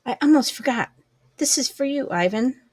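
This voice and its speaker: Storybook role: tender-hearted little girl, woman reader, lifted pitch, pleading and earnest, animated delivery. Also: moderate pace, slightly rough, no echo, studio quality. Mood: eager.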